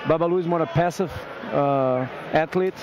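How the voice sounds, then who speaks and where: A man commentating a grappling match as guest, explaining moves calmly with frequent fillers. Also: a little high, moderate pace. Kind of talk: live fight commentary. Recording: noisy arena with crowd.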